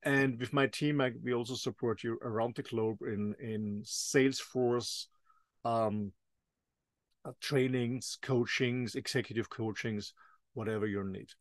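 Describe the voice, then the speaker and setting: A man with a German accent, a native German speaker, hosting a business podcast in English, halting and repeating words as he wraps up. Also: mid-range voice, steady tone, no room sound. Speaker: podcast host. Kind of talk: interview and sign-off.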